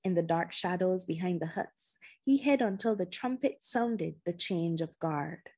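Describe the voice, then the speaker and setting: Husky-edged woman reading a story aloud. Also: fairly low pitch, animated, measured pace, darkish tone. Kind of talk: expressive scripted narration of a children's adventure novel.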